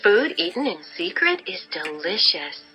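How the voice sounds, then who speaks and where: A woman playing an unruly seductress who lures passers-by with drawn-out, enticing words in a dramatized audio Bible. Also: lowish voice, very animated, pushed and bright, heavily acted, poor audio. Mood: seductive luring. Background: music bed.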